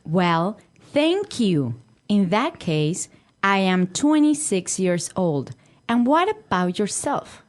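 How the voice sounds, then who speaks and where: A woman acts out a friendly young business traveler from Mexico in a lesson dialogue. Her delivery is slow and careful, with very lively intonation. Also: mid-range voice. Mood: playful. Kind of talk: acted language-lesson dialogue.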